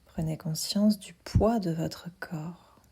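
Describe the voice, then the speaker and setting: A woman guiding a sleep meditation in a soft, half-whispered voice, calm and soothing, leaving pauses between her instructions. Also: on the low side, vocal fry, husky. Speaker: yoga nidra guide.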